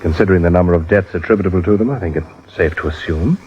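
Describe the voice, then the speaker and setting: An actor playing a suave, evasive planter husband, polite, formal and coolly controlled, in a 1950s radio drama. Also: male voice, low voice, very fast, a little mellow, lightly acted. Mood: defensive, controlled. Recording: dull lo-fi old radio broadcast.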